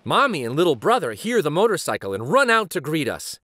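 A middle-aged man reads a picture book aloud as host, in an expressive, dramatic manner. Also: a little high, fairly quick. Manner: animated, dramatic. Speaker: children's storybook reader and show host.

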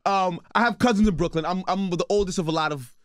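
A young man hosting a radio interview show in a studio, playful and telling quick stories. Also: sits high, dry recording. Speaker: radio host. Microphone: studio mic on boom arm.

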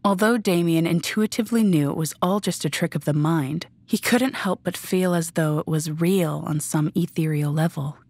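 A woman narrates a scripted story in a deep register for her sex. The sound is dry, with next to no room in it. She reads as the narrator of an audio drama. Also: moderate pace, few pauses, darkish tone, no room sound.

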